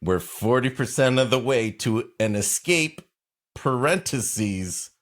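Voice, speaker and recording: A man's voice: medium pitch, animated, slow, fairly bright tone. A man co-hosting a comedy podcast, riffing in casual, wry commentary. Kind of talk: casual comic commentary and banter.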